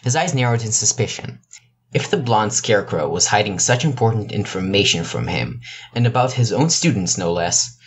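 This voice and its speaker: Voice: mid-range voice, lively intonation, moderate pace, slight background noise. An amateur narrator reading a scripted piece of fiction. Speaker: narrator, amateur podfic reader.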